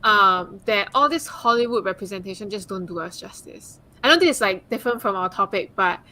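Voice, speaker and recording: A woman's voice: medium pitch, lively intonation, brisk, bright, forceful tone, poor audio. A woman from Singapore speaking earnestly as a guest on a live panel discussion, with frequent "like" and "um" fillers. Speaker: guest speaker, consultant and advocate.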